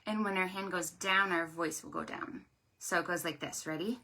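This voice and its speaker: A woman hosting a preschool storytime, speaking to small children in an animated, sing-song, exaggerated way. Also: on the low side, normal speed, heavy background noise. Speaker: children's librarian, storytime host.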